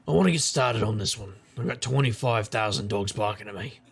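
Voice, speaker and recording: Man as a very gravelly, roguish private eye. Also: lowish voice, a little fast. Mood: wry. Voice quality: gravelly, husky. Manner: wry, world-weary wisecracking. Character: gruff, roguish, hard-drinking male private investigator narrator. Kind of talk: noir audio drama narration and dialogue.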